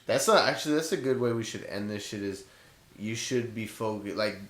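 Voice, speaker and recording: A man's voice: lowish voice, brisk, fairly bright tone. A man from California hosting a podcast, chatting loosely with lots of fillers and swearing. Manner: loose, profane, filler-heavy. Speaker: podcast host. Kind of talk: casual chat. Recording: echoey room.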